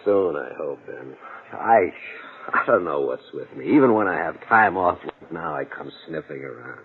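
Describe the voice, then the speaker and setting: An actor playing a lonely, wistful veteran policeman in an old radio drama, speaking in a low-key, halting, husky voice. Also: expressive, exaggerated voice. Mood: weary, wistful. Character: lonely, weary veteran male cop, friendly and wistful. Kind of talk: 1940s-50s radio drama acting. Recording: muffled narrow-band old radio recording.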